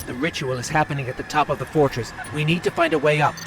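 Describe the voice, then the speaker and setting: A man voicing a small, loyal robot companion in a video game, earnest, worried and expressive. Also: a little high, fast, heavy background noise, lightly acted. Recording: voice under loud game music.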